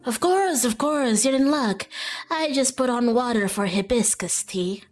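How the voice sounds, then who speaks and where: A woman voicing a kindly, hospitable old small-town woman in a visual novel, gracious, gentle and folksy. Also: on the high side, unhurried, soft background music, close to an everyday voice. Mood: welcoming.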